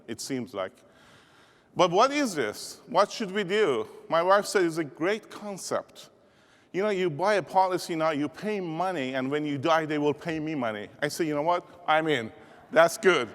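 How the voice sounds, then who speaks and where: Man with a Persian accent, motivational keynote speaker, rallying a crowd, fairly high voice, echoing hall. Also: a bit bright. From Iran. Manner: rallying, call-and-response with audience. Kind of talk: energetic keynote speech. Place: large conference hall. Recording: reverberant hall.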